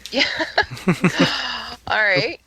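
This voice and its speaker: A woman's voice: a little high, extremely slow, sharp tone, a little hiss or hum, poor audio. A woman co-hosting a conversational radio interview, asking guests questions. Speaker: podcast co-host and interviewer.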